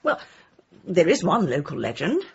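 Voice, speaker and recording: Breathy, kindly village woman. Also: fairly low pitch, wildly swooping pitch, normal speed, dull audio, exaggerated voice. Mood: chatty. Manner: polite, mild, homely. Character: kindly, gentle, slightly hesitant village woman. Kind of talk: radio comedy drama acting.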